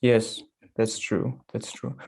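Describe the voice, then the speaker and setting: A man's voice: medium pitch, level tone, takes it slowly, gravelly, darkish tone, slightly rough. A man from Berlin speaks in a panel conversation with an ordinary mid-range voice. He talks fluently and earnestly, leaning into his points with emphasis. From Berlin, Germany. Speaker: actor.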